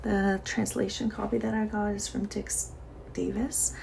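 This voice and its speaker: Soft-spoken woman with vocal fry. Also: medium pitch, level tone, a little slow, a little hiss or hum. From Iran. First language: Persian. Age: 40s. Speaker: vlogger. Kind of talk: casual diary chat to camera.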